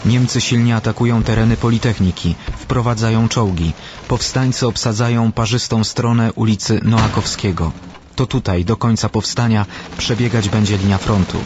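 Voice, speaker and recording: A man's voice: fairly low pitch, fairly even intonation, normal speed, dull audio, noisy background. A man reading scripted historical narration as the narrator of a radio documentary.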